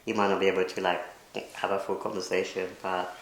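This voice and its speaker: A man from Kenya co-hosting a relaxed chat, answering viewer questions with lots of fillers. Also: sits low, fast talker, echoey room, a little hiss or hum, poor audio. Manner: relaxed, conversational, with many fillers. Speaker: co-host.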